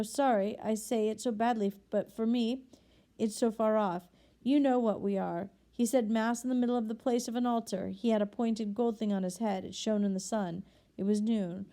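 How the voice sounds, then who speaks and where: A woman's voice: a little high, mellow, natural voice. A woman reading aloud and voicing a desperate forty-six-year-old ex-convict man who stammers and pleads. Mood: rambling, earnest. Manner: stammering, pleading, tumbling words.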